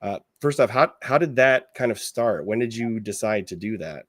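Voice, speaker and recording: A man hosting a podcast, asking questions in a relaxed, hesitant way with fillers. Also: lowish voice, moderate pace, no echo, studio quality. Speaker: podcast host. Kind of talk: casual interview.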